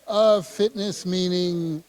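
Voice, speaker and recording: A man hosting an interview in a gym, asking questions with many fillers and quick agreements. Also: high voice, fairly even intonation, a little mellow, smooth. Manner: hesitant, uses many fillers and short agreements. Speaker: host, interviewer. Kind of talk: casual interview.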